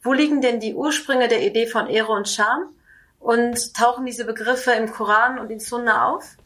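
A woman's voice: on the high side, fairly even intonation, moderate pace, fairly bright tone. A young woman hosting a podcast interview, introducing her guest and asking questions in a friendly way. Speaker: podcast host.

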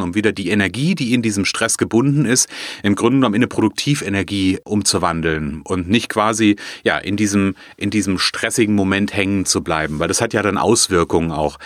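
A man's voice: fairly low pitch, no echo, clean studio sound. A man speaking as a podcast guest, talking thoughtfully with frequent restarts and fillers. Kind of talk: casual conversational interview.

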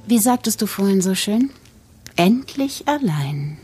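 A woman playing a cool, calculating wife in a German podcast drama, speaking in measured, polite and articulate phrases with practised sincerity. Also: mid-range voice, very animated, a little mellow, soft background music. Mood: smug, icy. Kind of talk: German fiction podcast acting.

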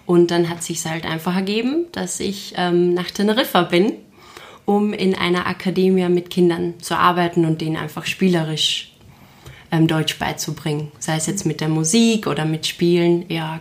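A woman in her twenties with an Austrian accent from Carinthia, a guest chatting softly and hesitantly in an interview. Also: lowish voice. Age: 20s. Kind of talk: casual interview chat. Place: cozy living room.

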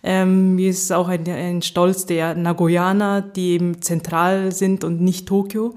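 Hesitant low-voiced woman. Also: little pitch movement, a little slow, darkish tone. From Japan. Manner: hesitant, many fillers and restarts, light laughs. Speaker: interview guest, agricultural researcher. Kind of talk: casual interview.

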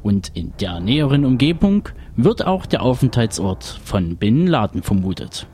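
A man's voice: mid-range voice, big pitch swings, moderate pace, music in the background. A man reading a scripted film synopsis as an announcer.

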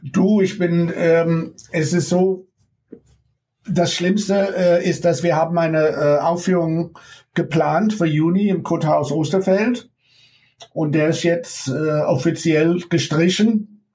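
A middle-aged man from the United States with an American accent, a ballet teacher talking as an interview guest in halting German full of pauses and small laughs. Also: a little high, fairly even intonation, darkish tone. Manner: halting German with many ums and frequent laughs. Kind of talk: casual interview over remote connection.

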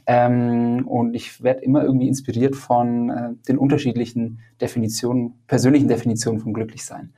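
Male podcast host, mellow and husky. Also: medium pitch, little pitch movement, fairly quick, hardly any pauses. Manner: friendly, upbeat questions. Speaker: podcast host and app founder. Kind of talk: podcast interviewing.

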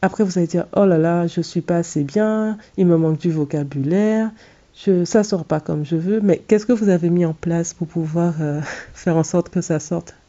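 A woman in her thirties talks straight to her listeners in a very dark, mellow voice. She is earnest and direct, often restarting her sentences. The sound is a little dull and lo-fi. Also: fairly low pitch, normal speed. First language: English. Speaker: podcast host and English coach. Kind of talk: solo podcast monologue giving advice.

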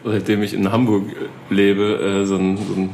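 Man, interviewer, low voice, chatty, easygoing, faint noise. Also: monotone, normal speed, vocal fry, darkish tone. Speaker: interviewer, host. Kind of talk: casual interview chat.

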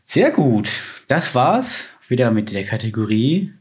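A young man talks about money on a casual podcast, keen and slangy. He restarts his sentences often and his voice sits at an ordinary middle pitch. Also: sing-song, unhurried. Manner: enthusiastic, slangy, many fillers and restarts. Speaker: podcast co-host, financial advisor.

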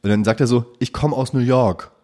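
A man's voice: medium pitch, brisk, dark tone. A man co-hosting a podcast, chatting casually and joking brashly, with frequent swearing. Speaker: podcast co-host. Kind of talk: casual chat.

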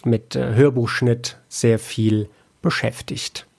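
A man's voice: mid-range voice, little pitch movement, slow, creaky, darkish tone. A man answering casually as an interview guest, hesitant and often searching for his words. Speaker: interview guest, audio drama producer and author.

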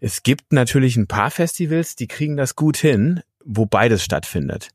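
A man in his thirties from Germany, interviewing a fellow musician in a relaxed, rambling way with lots of fillers. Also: medium pitch, normal speed, slightly rough, no room sound, studio quality. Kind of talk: casual interview chat.

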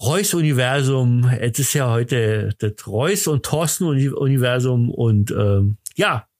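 A middle-aged man with a Berlin accent, chatting casually as a podcast host in a rambling, halting, jokey way. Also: mid-range voice, animated, darkish tone, no echo, clean studio sound. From Berlin, Germany.

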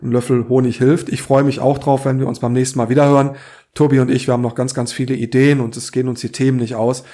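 Guy with a raspy cold voice doing an earnest political podcast, pretty emphatic. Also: medium pitch, flat delivery, quick pace, dark tone. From Saarland, Germany. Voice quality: rough, hoarse. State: hoarse from a cold. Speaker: podcast co-host. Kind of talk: opinionated political podcast talk.

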